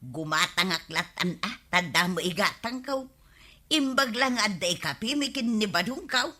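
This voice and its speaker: An actress with a Filipino accent playing a cheeky, stubborn bargain-hunting housewife in a radio comedy drama. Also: female voice, medium pitch, expressive, normal speed, bright, forceful tone. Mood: stubborn haggling.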